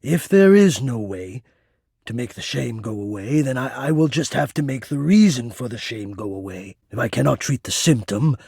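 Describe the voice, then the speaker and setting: A shy, lovelorn male spirit who hides in the vents of a video game house. His voice is mid-pitched with a creaky, husky grain, recorded close and dry. He speaks in florid, theatrical phrases, halting and painfully earnest. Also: expressive, darkish tone, no echo. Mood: earnest, hesitant hope. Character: anxious, lovelorn, poetic male ductwork spirit hiding in attic. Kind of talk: video game character acting.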